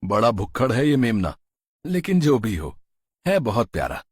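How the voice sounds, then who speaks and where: Humble, kind-hearted farmer for a cartoon tale, played by a man; husky, earnest, dramatic. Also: medium pitch, very animated, moderate pace, darkish tone, no echo, studio quality. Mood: fond. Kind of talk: cartoon story character voice.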